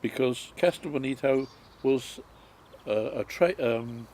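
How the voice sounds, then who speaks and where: A man, recalling his life story in a halting way with many pauses and ums. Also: medium pitch, a little mellow, a little hiss or hum. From England. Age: 90s. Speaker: interviewee.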